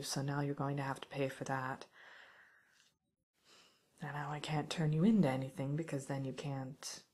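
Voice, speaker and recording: Woman playing a breathy, low-voiced whispering witch. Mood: mock-stern. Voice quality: soft, breathy, often whispered. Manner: teasing, musing, mock-stern, theatrical. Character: wry, unhurried witch scolding a house intruder. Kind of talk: ASMR roleplay, soft speech and whispering.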